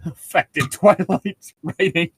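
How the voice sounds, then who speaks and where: A man's voice: fairly high pitch, takes it slowly, a bit bright, slightly rough, no echo. A man co-hosting a casual fan fiction reading, riffing in a profane, sardonic way. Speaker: podcast co-host reading fan fiction aloud.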